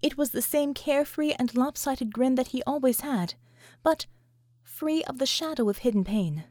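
A woman's voice: high voice, normal speed, darkish tone, no room sound, studio quality. A woman reading scripted fiction as an audiobook narrator.

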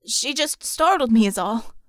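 Young boy in an audiobook woman performs: sunny, kind, reassuring, higher-pitched. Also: animated, measured pace, dry recording. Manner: gentle, coaxing, reassuring.

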